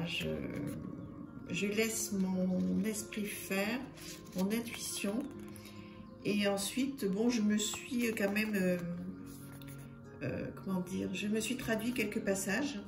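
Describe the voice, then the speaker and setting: Chatty French-speaking woman, gravelly voice. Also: mid-range voice. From France. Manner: warm, chatty, many fillers and repeated words. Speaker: tarot channel host. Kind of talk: casual deck presentation. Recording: echoey room with music behind. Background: soft music.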